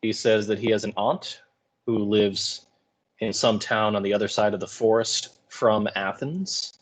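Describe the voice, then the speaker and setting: A man with a fairly low voice gives informal lecture commentary. He explains like an English teacher, putting things into colloquial everyday words. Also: fairly even intonation, a little slow, poor audio.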